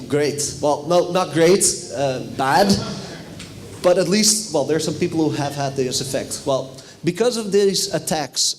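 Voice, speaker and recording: Dutch man, somewhat high voice, relaxed speaker addressing a conference room, faint noise behind. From Netherlands. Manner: casual, chatty, asks the audience questions. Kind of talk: technical conference talk.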